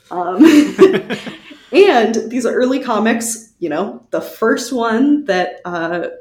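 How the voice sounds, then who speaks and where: A woman co-hosting a podcast, presenting researched history in a conversational, wry and animated way with giggly asides. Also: fairly low pitch, echoey room. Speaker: podcast co-host.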